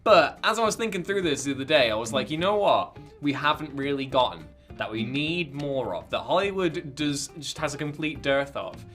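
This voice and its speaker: Bright-voiced man riffing on a podcast. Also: on the high side, moderate pace, few pauses, faint music behind. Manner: animated, joking, rambling riffs. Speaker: podcast co-host. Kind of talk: casual podcast chat.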